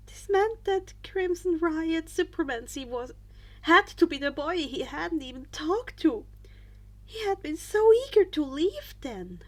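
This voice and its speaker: High-voiced woman narrating a story, unhurried. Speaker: podfic narrator reading fan fiction. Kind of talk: expressive audiobook-style story narration.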